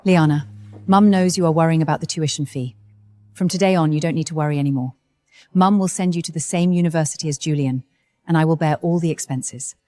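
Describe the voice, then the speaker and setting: A woman playing a mellow, caring middle-aged mother. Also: low voice, brisk, lightly acted. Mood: gentle. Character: worried, kindly mother of a wealthy household.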